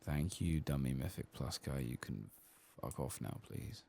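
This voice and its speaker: A man giving soft-spoken, sometimes whispered ASMR commentary as he plays a video game, muttering casually to himself. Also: extremely low pitch, expressive, a little slow, gravelly, slight background noise. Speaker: streamer playing a video game.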